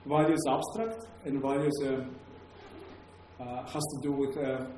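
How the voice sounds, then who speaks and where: A man with a Greek accent lectures to an audience, pausing often on fillers and restarting his sentences. His voice is mellow and dark. It rings out in a very echoey room over a faint hum of background noise. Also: medium pitch, steady tone. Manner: hesitant, many fillers and restarts. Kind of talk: academic talk to a live audience.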